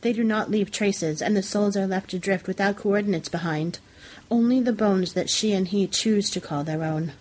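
A woman's voice: mid-range voice, moderate pace, darkish tone, rough recording. A woman reading a literary story aloud as a scripted narration for a fiction podcast.

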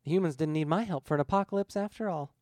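A man's voice: on the high side, fast talker, darkish tone, dry recording, clean studio sound, pitched above the performer's own voice, natural voice. A man voicing an ancient, seductive female-sounding evil spirit with a coaxing drawl in an audiobook story.

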